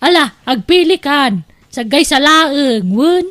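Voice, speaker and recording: Woman acting a high-voiced bossy Ilocano aunt. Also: animated, takes it slowly, a bit bright, full character voice. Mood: breezy, thrifty. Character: breezy, bossy, thrifty middle-aged aunt. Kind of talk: Ilocano audio drama acting.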